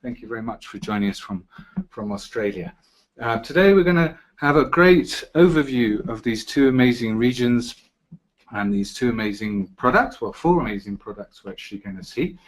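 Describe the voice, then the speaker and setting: A man's voice: mid-range voice, lively intonation, moderate pace. A middle-aged British man from Britain hosting a travel webinar from an office, friendly and chatty, with frequent "uh" fillers. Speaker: webinar host. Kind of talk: live travel webinar presentation. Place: office in Quito.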